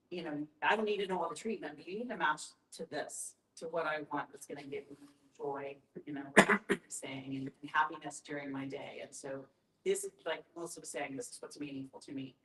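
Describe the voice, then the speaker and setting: A woman's voice: deep voice, fairly bright tone. A woman explaining her view in a group discussion, speaking out first-person examples as she goes. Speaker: discussion participant. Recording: echoey room.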